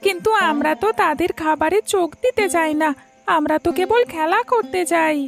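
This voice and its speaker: A woman's voice: very high-pitched, brisk, gravelly. A woman voicing an innocent, plaintive young village boy in a cartoon story, earnest and questioning. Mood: hurt, plaintive.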